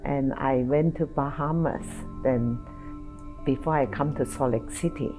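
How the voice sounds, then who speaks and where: Older woman, halting speech. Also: a bass voice, music in the background, rough recording. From China. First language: Toisanese. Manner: halting, searching for words. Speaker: interviewee. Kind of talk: documentary interview.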